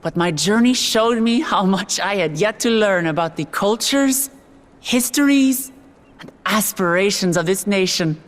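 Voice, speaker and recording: A woman voicing an earnest young princess and hopeful heir, giving a measured, sincere speech in a video game cutscene. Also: medium pitch, lively intonation, natural voice. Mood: earnest, reflective.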